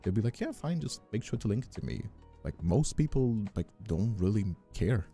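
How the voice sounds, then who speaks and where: Very deep, creaky man chatting casually. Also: very animated, normal speed, warm tone. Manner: hesitant, repetitive, informal, earnest. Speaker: ASMR creator and streamer hosting a chat. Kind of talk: casual rambling livestream chat. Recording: faint music behind the voice. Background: faint music.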